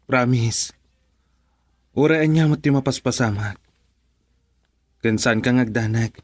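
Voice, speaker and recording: A man's voice: medium pitch, measured pace, no room sound, lightly acted. A man acting a humble, devoted young tailor in a radio drama, earnest and respectful, with a Filipino accent. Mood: tender, anxious.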